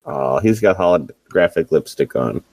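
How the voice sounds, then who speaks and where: Low-voiced man with wry quips. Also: lively intonation, normal speed, a little mellow, no echo. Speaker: podcast co-host. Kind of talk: casual commentary chat.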